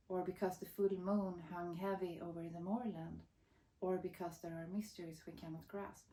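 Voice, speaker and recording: A woman, reading a picture book aloud as expressive storybook narration. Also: fairly low pitch, roomy sound, rough recording. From Sweden. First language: Swedish.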